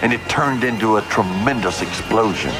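A man with a deep voice speaking in an authoritative, declaiming manner on an archival recording. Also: moderate pace, over loud music. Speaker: group founder and leader.

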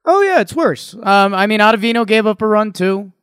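An American man with a clear, smooth voice that sits a little high. He co-hosts a sports podcast, chatting in an easygoing, conciliatory way on a dry, close recording. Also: expressive, fairly quick, a bit bright, no echo. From Connecticut, United States. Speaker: podcast co-host. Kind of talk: casual sports chat.

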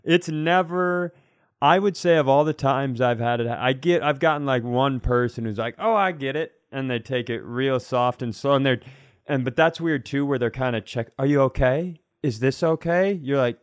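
A man's voice: medium pitch, moderate pace, no echo, studio quality. A man in casual podcast chat, telling personal stories in a frank, conversational, comic way. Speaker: podcast co-host and comedian.

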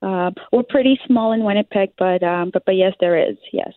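A woman's voice: mid-range voice, normal speed, no echo. A young woman from Liberia with a Liberian English accent, answering interview questions hesitantly with many fillers and laughs. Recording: dry, phone-like sound.

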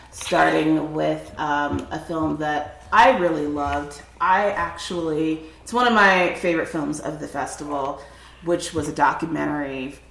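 A woman's voice: sits low, creaky, roomy sound, slight background noise, rough recording. A woman hosting a podcast, sounding a bit nasal as she gets over a cold, talking in an upbeat, chatty way. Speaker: podcast host. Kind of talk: podcast intro and roundtable chat. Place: table at a film festival.

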